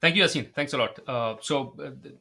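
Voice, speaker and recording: A man's voice: medium pitch, fairly quick, gravelly, sharp tone. A man, a podcast guest explaining things carefully and adding caveats. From Pakistan. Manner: careful and methodical, lists points and adds caveats. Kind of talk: casual podcast talk.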